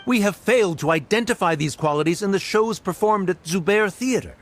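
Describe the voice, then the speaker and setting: A man's voice: high-pitched, brisk, a little hiss or hum, close to an everyday voice. A man voicing a haughty, stern academy scholar in a video game, lecturing in a pompous, condescending manner.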